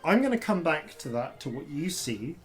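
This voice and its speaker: A man who grew up in Yorkshire, with an RP accent touched by Yorkshire vowels, running a tabletop game as dungeon master in halting, filler-heavy descriptions. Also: fairly high pitch, sing-song. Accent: RP with Yorkshire traces. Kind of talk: improvised tabletop game narration.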